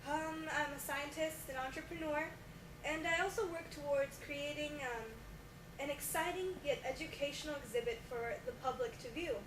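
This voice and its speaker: A woman's voice: high voice, normal speed, lightly acted. A young woman playing an earnest scientist guest in a homemade mock talk show, explaining things, on distant, echoey, noisy audio. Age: young adult. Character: earnest female scientist and exhibit creator as guest. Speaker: interview guest.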